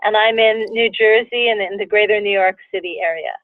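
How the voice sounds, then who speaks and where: A woman introducing herself on a group video call, chatty and quick. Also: medium pitch, dry recording. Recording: tinny, dry phone-like line.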